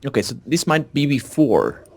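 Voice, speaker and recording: A man's voice: mid-range voice, very animated, normal speed, creaky. A man chatting casually as a co-op gamer, sometimes reading game text aloud. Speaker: gamer, co-op let's play commentator.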